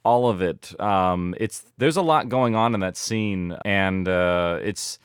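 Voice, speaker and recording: Low-voiced man, close dry sound. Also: slow, smooth, no echo. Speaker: podcast guest, audio drama producer. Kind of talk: reflective interview chat.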